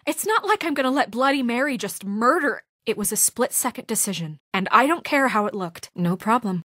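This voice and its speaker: A woman's voice: a little high, lively intonation, fairly quick, a bit bright, dry recording, clean studio sound. A woman playing a principled young deputy mayor in a story video game, speaking with earnest, firm exasperation in naturalistic dramatic dialogue. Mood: defensive, exasperated.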